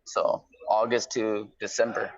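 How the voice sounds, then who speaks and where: A man's voice: lowish voice, little pitch movement, measured pace, slight background noise. A middle-aged man talking casually as a guest on a phone call, explaining things conversationally and often checking in with "right?". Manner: conversational, explanatory, peppers talk with "right?" and "you know". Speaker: guest calling from prison. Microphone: phone line. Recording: slightly dull, lo-fi phone call.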